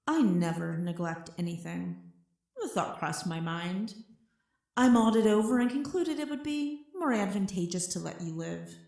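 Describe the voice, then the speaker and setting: A woman plays a cold and cunning lady villain from an audio drama, proud and imperious. Her voice has a gravelly creak at a medium pitch, with clipped, haughty delivery in an echoing room. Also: expressive, moderate pace, a little mellow. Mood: cool, haughty. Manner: haughty, clipped, formal, dry. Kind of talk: scripted audio drama acting.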